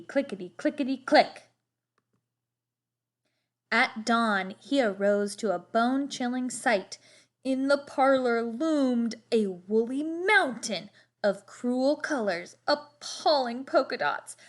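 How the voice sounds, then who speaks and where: A woman reading a children's storybook aloud expressively, with deliberate, dramatic emphasis on words. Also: on the high side, fairly bright tone.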